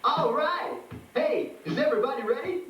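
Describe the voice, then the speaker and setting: A woman's voice: high-pitched, lively intonation, normal speed, far from the mic. A woman voicing an upbeat puppet in a children's educational safety show. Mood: upbeat, instructive. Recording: echoey, dull playback from afar over music.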